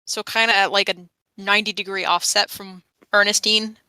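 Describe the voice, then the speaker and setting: A woman speaks as a player in a tabletop game, casually describing her character's moves. Her voice is bright and mid-pitched, recorded very dry. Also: level tone, fairly quick, smooth, no echo, rough recording.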